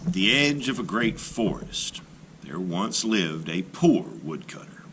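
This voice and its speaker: A male teacher reads a fairy tale aloud for children. His voice is low but bright, with lively, animated intonation, and a faint hiss of noise sits behind it. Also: slow pace. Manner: expressive, animated storytelling. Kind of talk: storybook read-aloud.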